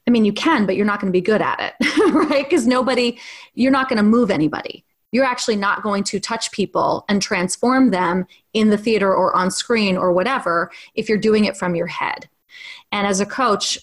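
Candid woman guest, mid-pitch, thinks aloud, casual cursing, reflective interview talk. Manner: frank and reflective, swears casually, many restarts. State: single mom. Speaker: acting coach and podcast guest.

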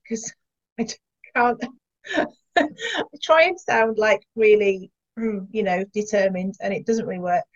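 Soft-spoken woman guest, self-deprecating, lo-fi sound. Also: on the high side. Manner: gentle, self-deprecating, laughs softly. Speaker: podcast guest and dog handler. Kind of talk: casual chat. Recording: dull lo-fi call audio.

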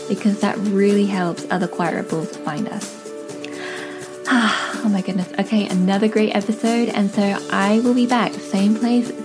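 A woman hosting a podcast, gushing and affectionate, laughing often as she talks to her listeners. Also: medium pitch. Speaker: podcast host. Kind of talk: warm podcast interview.

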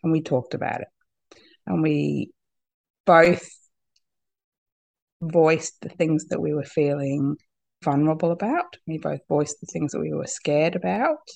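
Husky woman with a cold, laughing often. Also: deep voice, animated, darkish tone, no echo. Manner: laughs often, halting restarts. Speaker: podcast guest. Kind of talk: candid personal conversation.

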